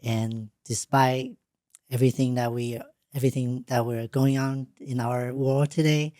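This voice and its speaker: Woman host, english not her first language, halting stop-start talk, very dry studio sound. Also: very deep voice, fairly even intonation, dark tone, dry recording. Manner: halting, restarts often, plain unpolished English. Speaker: podcast host. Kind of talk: casual interview.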